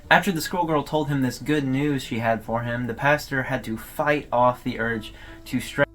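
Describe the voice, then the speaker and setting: A man's voice: medium pitch, moderate pace, fairly bright tone. A man hosting a video, giving casual, conversational explanatory commentary as he retells a story. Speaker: video host recapping an online horror series.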